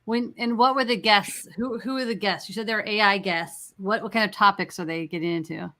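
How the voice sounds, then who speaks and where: A woman's voice: medium pitch, fairly quick, fairly bright tone. A woman moderating a casual interview, asking questions in an informal, engaged manner.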